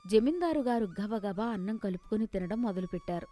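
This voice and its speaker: A woman's voice: mid-range voice, expressive, brisk, dark tone, no echo, soft background music. A woman narrating a scripted moral story as a storyteller.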